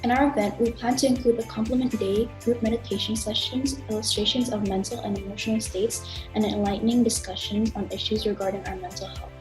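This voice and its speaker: A woman's voice: mid-range voice, steady tone, brisk, few pauses, music in the background, rough recording. A teenage girl prefect reading a prepared event plan to a school assembly in a formal tone. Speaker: student council prefect.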